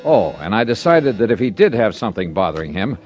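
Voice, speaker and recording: An American man playing a wry, hard-boiled insurance investigator in a 1950s radio detective drama, brisk in manner. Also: lowish voice, sing-song, a little mellow. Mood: wry narrating. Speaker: lead actor and narrator of a radio detective series. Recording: vintage radio recording with music.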